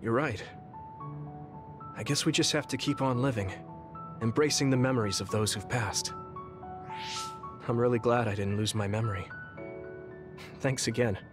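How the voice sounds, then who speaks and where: Guy doing a gentle earnest young soldier in a game, soft sincere talk, music behind. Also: medium pitch, normal speed, pauses often, lightly acted. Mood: warm. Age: young adult. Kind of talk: video game dub acting.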